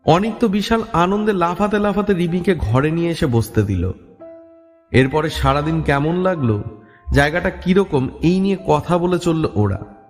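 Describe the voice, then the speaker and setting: Male horror story narrator: slightly high voice, scripted dramatic storytelling, reverberant sound. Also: animated, normal speed, soft background music. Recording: long reverb.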